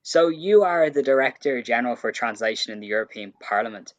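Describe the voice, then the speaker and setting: A man's voice: medium pitch, animated, fairly quick. A man hosting an interview podcast, asking questions politely. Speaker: podcast host. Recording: dull lo-fi audio.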